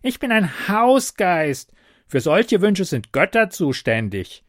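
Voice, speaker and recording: A man's voice: sits high, sing-song, slow, no room sound, clean studio sound, voice pushed up, full character voice. A man voicing a small, grumpy green male house goblin who talks in a scornful, snappish way. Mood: grumbling.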